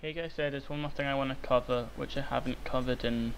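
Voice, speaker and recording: A young man, hoarse and croaky from illness, rambling with many ums through a software tutorial. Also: mid-range voice, steady tone, fast talker. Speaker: tutorial maker. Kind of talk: screen-recorded software tutorial. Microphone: cheap microphone. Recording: faint hiss, cheap mic.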